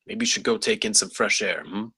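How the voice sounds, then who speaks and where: A man acts a wry, reassuring colleague in a table read. His voice is deep, very raspy and bright-edged, with casual, easygoing delivery. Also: brisk, no echo, heavily acted.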